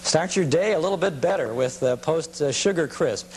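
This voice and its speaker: An American man informally presenting vintage clips in a halting, reminiscing way. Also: on the high side, rough recording. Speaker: presenter of vintage broadcasting programme. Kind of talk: informal spoken introduction to film clips. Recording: steady hum and noise.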